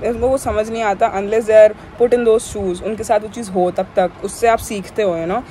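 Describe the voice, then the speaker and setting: A woman's voice: medium pitch, steady tone, normal speed, warm tone, a little hiss or hum. A twenty-one-year-old woman vlogging in a casual, rambling, opinionated way, switching back and forth between languages. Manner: rambling, opinionated, code-switching, often says "yaar" and "theek hai". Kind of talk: casual talking-head vlog.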